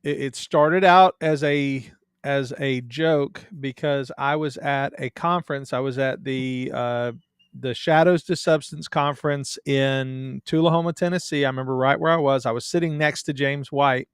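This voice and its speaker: A man's voice: mid-range voice, fairly even intonation, moderate pace, clean tone, dry recording, studio quality. A man with a Southern US accent, a guest in a casual chat, telling stories in a joking, self-deprecating way.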